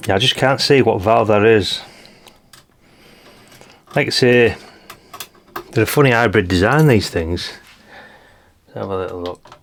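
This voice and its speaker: British bloke, lowish voice, rambly casual teardown chat, thinking out loud like a hobby channel host. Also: male voice, a little slow, long pauses, a little hiss or hum. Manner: chatty, rambling, thinking aloud. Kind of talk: casual hands-on teardown commentary.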